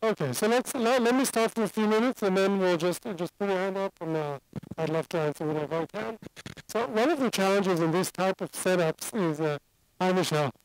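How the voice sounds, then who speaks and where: A man's voice: high-pitched, fairly quick, slight background noise, rough recording. A man from Singapore with a mixed international accent, giving a seated, conversational lecture as an addiction expert. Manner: conversational, explaining and qualifying.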